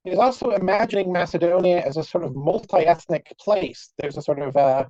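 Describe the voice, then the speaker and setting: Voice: mid-range voice, mellow. A conference speaker giving an academic lecture over a video call, with wry, dry humour and frequent fillers and restarts. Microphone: computer mic on a video call. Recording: dull, lo-fi video call audio.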